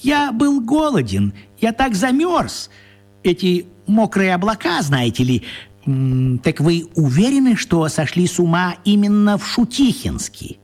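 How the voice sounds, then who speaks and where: An actor playing a tiny, apologetic old wizard gentleman in a children's radio play, husky and fussy, courteous and repeating himself. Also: high voice, very animated, a little slow, faint music behind, full character voice. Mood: agitated, apologetic.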